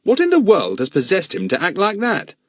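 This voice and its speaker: A man voicing a calm, practical grown man who speaks firmly and reasons things out. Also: high-pitched, no room sound, clean studio sound, voice pushed up, exaggerated voice. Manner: firm, reasoning, brisk.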